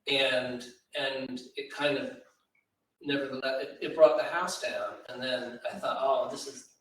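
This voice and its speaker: A man's voice: medium pitch, fairly even intonation, measured pace, slight background noise. A man giving informal, thoughtful commentary at a live literary event, with a hesitant, digressive delivery. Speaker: poetry editor and event speaker. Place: large reverberant room at a live event. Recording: echoey room, distant microphone.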